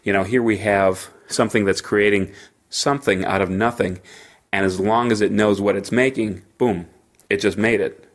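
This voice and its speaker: Gravelly-voiced man with a head cold. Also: fairly low pitch, steady tone, moderate pace. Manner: rambling, many fillers and restarts. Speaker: podcast host. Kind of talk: solo podcast monologue. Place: hotel room. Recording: slightly echoey hotel room.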